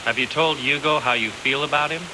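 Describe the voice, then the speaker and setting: A man acting a fatherly older mentor, grave, probing and gently disapproving, in an English anime dub. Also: medium pitch, a little fast, lightly acted. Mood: concerned. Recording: hissy, noisy VHS tape.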